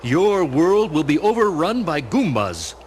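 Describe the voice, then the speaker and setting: Pedantic, highish-voiced henchman. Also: sing-song, unhurried, darkish tone, slight background noise. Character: henchman with absurdly erudite vocabulary. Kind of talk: comic radio play acting.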